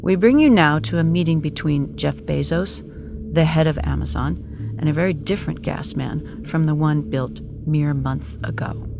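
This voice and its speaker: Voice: medium pitch, normal speed, noisy background. A narrator delivering scripted dramatic narration in a solemn, mock-epic storytelling manner. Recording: music clearly behind the voice.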